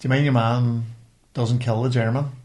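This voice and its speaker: A man's voice: mid-range voice, flat delivery, normal speed, a little mellow. A man with a Northern Irish accent, co-hosting a podcast in casual, colloquial, sweary chat. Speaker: podcast co-host.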